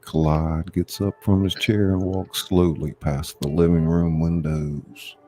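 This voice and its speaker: A man reading screenplay stage directions aloud as a deliberate scripted narrator. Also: sits low, little pitch movement, dark tone, faint music behind.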